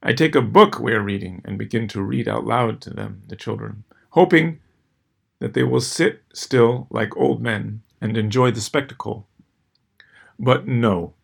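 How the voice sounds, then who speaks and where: A middle-aged man reading scripted personal stories as host, with a dry, wry, measured delivery and deliberate pauses. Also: mid-range voice, vocal fry, breathy edge. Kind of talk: scripted first-person storytelling.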